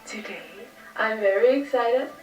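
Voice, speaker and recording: Young woman in a high cutesy voice, echoey. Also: a little slow. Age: young adult. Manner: put-on high cutesy kawaii voice. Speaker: vlogger. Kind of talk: bubbly vlog intro. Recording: echoey, distant, dull old vlog clip with music.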